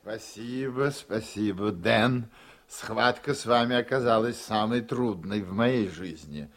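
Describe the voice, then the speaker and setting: An actor playing a genial, self-satisfied older senator in a radio play, speaking in an unhurried, patronizing way. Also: male voice, fairly low pitch, lightly acted. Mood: genial, triumphant. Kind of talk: radio play acting, victory speech.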